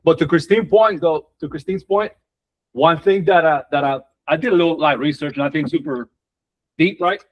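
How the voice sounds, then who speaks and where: Brief: man, agent in a team meeting, halting and filler-heavy. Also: fairly high pitch. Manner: hesitant, lots of uh and restarts. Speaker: real estate agent. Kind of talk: casual meeting chat.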